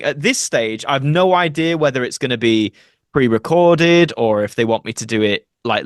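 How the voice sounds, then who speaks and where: Male actor as interview guest: conversational, explanatory, mid-pitched, dry recording. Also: dry recording. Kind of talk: casual interview chat.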